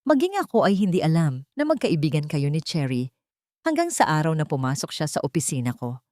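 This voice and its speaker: A woman plays a rich, lovestruck male boss in a romance audio drama. He speaks in a lowish voice, intense and pleading, with a close dry sound. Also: very animated, a little fast, a little mellow, no echo. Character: wealthy male CEO, earnest, lovestruck and pleading.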